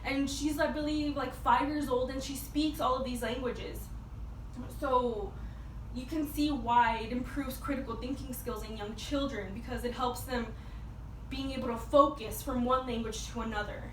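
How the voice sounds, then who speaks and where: A young woman giving a prepared persuasive speech as a student, in a halting, informal way with repeated words. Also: high-pitched, fairly even intonation. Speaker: student speaker. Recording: echoey, distant mic with background noise.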